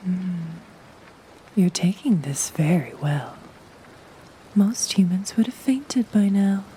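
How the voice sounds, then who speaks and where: A woman voicing a playful, sultry succubus in a flirty roleplay audio, teasing in a breathy half-whisper with a businesslike streak. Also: lowish voice, mellow, a little hiss or hum, rough recording.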